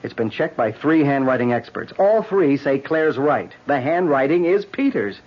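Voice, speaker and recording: An actor playing an insistent, protective man with a husky voice and a firm, earnest manner in a radio drama. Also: mid-range voice, sing-song, normal speed. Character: insistent, protective man confronting trouble head-on. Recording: muffled old radio drama broadcast.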